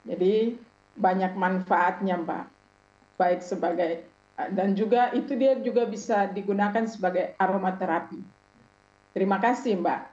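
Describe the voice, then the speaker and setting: Woman expert on a talk show, kinda mellow, lots of ums, roomy dull sound. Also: medium pitch. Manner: measured, with many hesitations. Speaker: expert guest.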